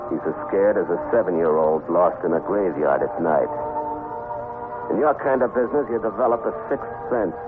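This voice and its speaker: An actor playing a tough, wisecracking private detective in 1950s radio crime drama, sardonic, clipped and threatening. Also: male voice, sits low, lively intonation, moderate pace, over music. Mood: wry, brooding. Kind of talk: 1950s radio crime drama acting, second-person narration. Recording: muffled, noisy 1950s radio broadcast recording.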